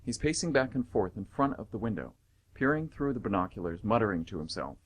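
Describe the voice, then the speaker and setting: A man's voice: lowish voice, lively intonation, brisk. A man narrating scripted stage directions for an amateur radio theatre comedy.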